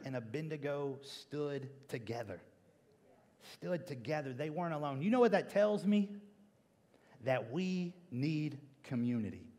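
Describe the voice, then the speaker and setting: A man's voice: a little high, a little mellow. A young man preaching a church sermon emphatically, repeating key phrases for effect. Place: large church stage indoors. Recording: reverberant church auditorium sound.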